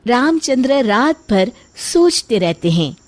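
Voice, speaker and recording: Slow, expressive woman narrator. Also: on the high side, soft background music. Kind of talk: scripted children's story narration.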